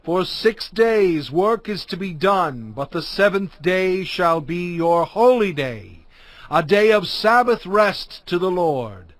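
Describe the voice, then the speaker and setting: High-voiced prophet proclaiming commands slowly. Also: male voice, animated, no echo. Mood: solemn, commanding. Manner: declamatory, measured, phrase by phrase. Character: Moses, solemn prophet leader proclaiming commands to his people. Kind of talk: dramatized scripture reading.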